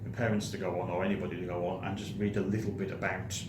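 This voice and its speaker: Low-voiced British man, noisy echoey room. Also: flat delivery. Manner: halting, repeats words. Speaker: host of a film review show. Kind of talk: casual chat. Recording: roomy echo, clearly audible background noise.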